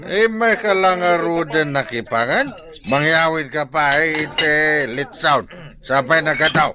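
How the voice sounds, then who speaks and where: A man's voice: a little high, measured pace, crowd noise, close to an everyday voice. A man with an Ilocano accent playing a husband who lectures his wife in a radio drama. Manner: scolding, lecturing.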